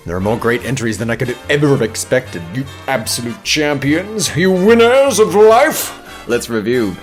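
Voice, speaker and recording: A man hosting with scripted comedic narration, theatrical and mock-grand, full of playful flourishes. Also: medium pitch. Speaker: host.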